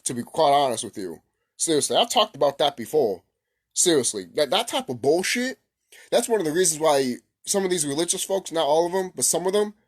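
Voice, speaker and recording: A man's voice: fairly high pitch, husky. A man giving a casual, unscripted opinion rant as a gaming commentator, blunt and repetitive with heavy swearing.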